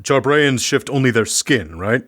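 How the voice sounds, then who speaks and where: A man acting in an audio drama as a discreet medic, speaking in a measured, formal, coy way. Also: medium pitch, animated, a bit bright, no echo, clean studio sound. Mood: calm, instructive. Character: dry, discreet male medic quietly aiding prisoners. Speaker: voice actor in a fiction podcast.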